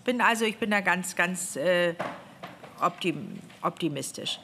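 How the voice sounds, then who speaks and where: A woman's voice: fairly low pitch, animated, unhurried, gravelly, bright, forceful tone, slight background noise. A woman in her fifties, a government official, reporting to a parliamentary committee in a meeting room, talking freely and animatedly, often restarting her phrases. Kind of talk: spontaneous spoken report. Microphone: gooseneck desk microphone.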